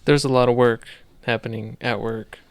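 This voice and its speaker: Chatty man with a gravelly voice. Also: mid-range voice, fairly even intonation, normal speed. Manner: chatty, joking. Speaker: podcast co-host. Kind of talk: casual chat. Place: small room with green screen.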